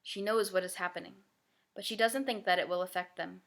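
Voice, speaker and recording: A woman voicing a calm, gentle mother in a children's novel, speaking softly and reassuringly. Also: lowish voice, brisk, vocal fry. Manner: soft, soothing. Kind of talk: children's novel read-aloud.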